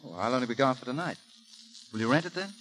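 An actor playing a breezy, naive, well-bred young gentleman in a 1930s radio comedy, polite and eager. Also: medium pitch, lively intonation, quick pace, close to an everyday voice. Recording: noisy old 1930s radio recording.

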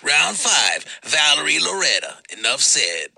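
A man voicing a brash male fight-talk segment host, with a gravelly voice and a shouted, profane, punchy countdown delivery. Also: a little high, very animated, a little slow, dry recording, exaggerated voice.

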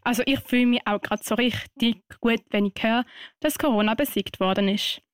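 A woman's voice: fairly high pitch, a little fast, no echo, studio quality. A woman presenting a music and story show as radio host.

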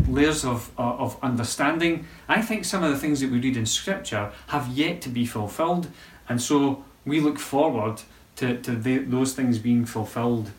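Pastor preaching in an echoey room. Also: male voice, medium pitch, recorded from a distance. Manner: conversational, halting with repeated restarts. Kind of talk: sermon.

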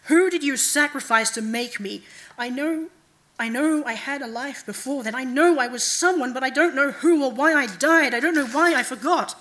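A woman's voice: a little high, normal speed, hardly any pauses, fairly bright tone, natural voice. Woman voicing a troubled, bitter undead king. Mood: anguished.

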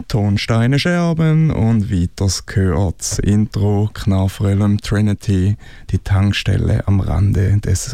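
A man's voice: lowish voice, warm tone, smooth, no echo, clean studio sound. A man presenting a music radio show in a casual, announcing style.

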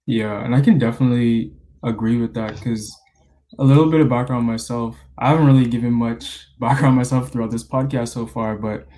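A college senior in his twenties from the Bahamas, hosting a podcast interview in a relaxed way with lots of fillers. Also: mid-range voice, brisk. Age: 20s. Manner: relaxed, many fillers like you know and like.

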